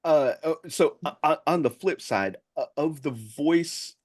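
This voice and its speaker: A man hosting a casual interview, feeling his way haltingly through what he says. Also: a little high. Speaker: podcast host asking questions.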